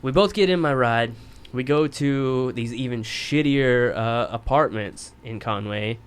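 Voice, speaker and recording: A man spins a long, winding story for his podcast co-hosts. He talks casually and swears freely, and he makes fun of himself at a middling pitch. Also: a little slow, clear, resonant tone. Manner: rambling, profane, self-mocking. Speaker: podcast co-host telling a story.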